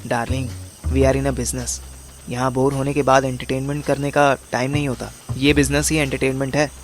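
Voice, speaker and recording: A man's voice: medium pitch, level tone, moderate pace, a little hiss or hum, lightly acted. A man playing a bossy, irritable young detective boss in an audio drama, slangy and impatient, mixing in English words. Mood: brisk, instructing. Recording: music under the voice.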